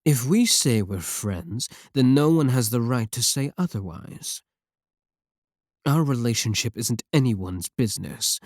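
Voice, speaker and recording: A man's voice: medium pitch, animated, measured pace, a little mellow, no echo, studio quality. A man playing a clingy, possessive yandere VTuber, pleading defensively and intimately with a single viewer in an ASMR roleplay. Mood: needy insistence.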